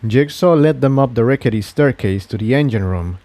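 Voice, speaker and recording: Guy reading a fanfic out loud as narrator, lively up and down pitch, scripted story style. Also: a little fast, dry recording. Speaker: narrator of fan fiction.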